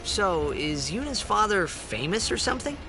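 An actor playing a curious, naive, cocky young man in a fantasy video game, expressive and incredulous. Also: high-pitched, moderate pace, music in the background. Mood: earnest. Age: young adult.

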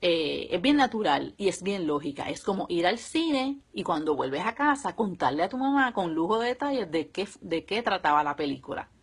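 A woman in her thirties or forties with a Puerto Rican accent and a slightly husky voice, explaining things casually and step by step to a live audience at home. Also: on the low side, lively intonation, normal speed, hardly any pauses. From Puerto Rico. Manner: conversational, explaining step by step with examples. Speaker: homeschooling mother and live video host. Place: small room at home, indoors. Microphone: phone or webcam at close range.

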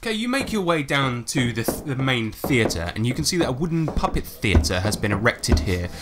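A man narrating a tabletop game as game master, reading dramatic descriptions in a measured, scripted way. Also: medium pitch, big pitch swings, background noise. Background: music.

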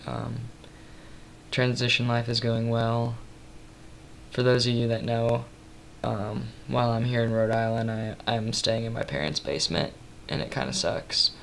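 A young man talks into his webcam in a small room late at night, sounding worn out. His voice has a heavy gravelly fry and a little rasp, and he rambles along in a soft, low-key way with lots of ums. A faint hiss sits under the recording. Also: fairly even intonation, unhurried. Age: 20s. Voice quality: slightly raspy from tiredness. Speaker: vlogger. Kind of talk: casual webcam update. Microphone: built-in webcam mic at close range.

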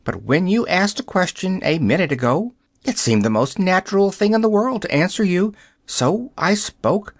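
A reader voicing a talkative female yellow hen in a storybook, with a small, sharp, distinct voice. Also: medium pitch, expressive, no room sound, clean studio sound, voice pushed up. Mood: thoughtful. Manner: quick, fussy, sharp and distinct. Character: chatty talking yellow hen, female, fussy.

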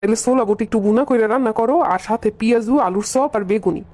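Woman acting a fast-talking, long-suffering Bangladeshi husband. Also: on the high side, mellow, lightly acted. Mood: placating. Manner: conciliatory, exasperated. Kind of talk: cartoon comedy dialogue.

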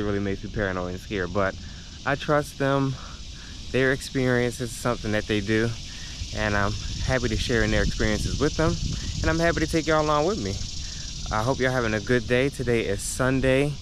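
Chatty Philly man vlogging outdoors. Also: lowish voice. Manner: chatty and upbeat, addressing viewers as y'all. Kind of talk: casual vlog talk to camera. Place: outdoors in a park on a sunny day. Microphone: handheld action camera at arm's length while walking. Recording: outdoor noise, insects and traffic. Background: cicadas, insects and passing traffic.

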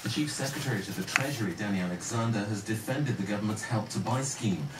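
A man's voice: lowish voice, fast. British male newsreader, scripted bulletin delivery, heard far off in an echoey room. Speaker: radio newsreader. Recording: radio played in a room, distant, heavy noise.

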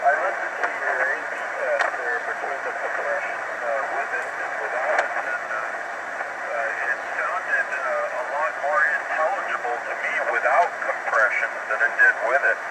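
A man's voice: medium pitch, normal speed. A man talking casually over single-sideband radio as an amateur operator giving a signal report. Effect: heard over shortwave single-sideband radio. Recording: very noisy, static-laden shortwave radio signal. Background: loud radio hiss and static.

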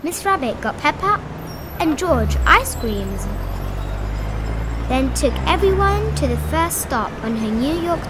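Woman reading a kids story, high voice, takes it slow, music playing behind her. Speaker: storybook narrator. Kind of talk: expressive scripted children's story narration.

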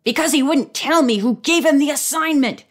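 A woman's voice: high voice, fairly quick, voice pushed up, close to an everyday voice. A woman voicing an angry, threatening male fashion designer in a storybook, snarling and accusatory. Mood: angry, indignant.

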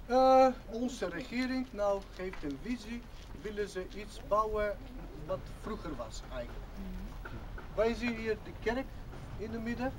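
Man guiding a tour in halting Dutch. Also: high voice, animated. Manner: halting non-native Dutch, short fragmented phrases with many fillers. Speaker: local tour guide. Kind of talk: outdoor guided tour explanation. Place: outdoors at a church site beside an information board. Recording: outdoor camcorder audio with clear background noise.

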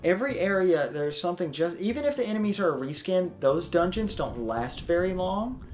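A young man hosting a let's play, commentating casually in a self-described monotone, rambling through his explanations. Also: high voice, brisk, soft background music.

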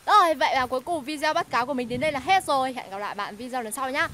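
A young woman vlogging outdoors by a fish pond, chatty and excitable, often laughing. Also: sits high, rapid-fire. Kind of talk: casual outdoor vlog. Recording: noisy outdoor phone recording.

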